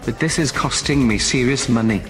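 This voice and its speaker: A testy underworld fence from a science-fiction drama, acted by a man. He is sarcastic and grumbling, with an ordinary male pitch, and music plays clearly behind him. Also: fairly quick, close to an everyday voice. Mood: grudging, uneasy. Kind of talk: science-fiction film dialogue.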